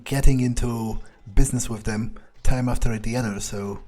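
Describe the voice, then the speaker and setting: A man's voice: mid-range voice, steady tone, moderate pace, warm tone, close to an everyday voice. A man roleplaying a polite, inquisitive young fledgling vampire in a video game, speaking hesitantly and tentatively.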